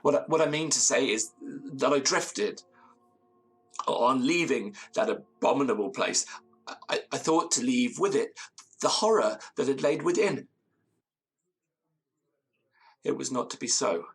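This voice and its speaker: An actor playing a haunted, frightened man, telling his tale in halting, theatrical, literary phrases for a gothic audio drama. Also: medium pitch, expressive, slightly rough. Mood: troubled, desperate. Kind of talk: gothic audio drama, first-person storytelling.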